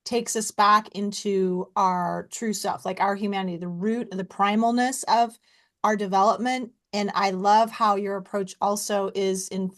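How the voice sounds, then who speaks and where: A woman co-hosting a podcast who speaks slowly and thoughtfully, searching for words. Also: mid-range voice, steady tone. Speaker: podcast co-host. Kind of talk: casual podcast chat.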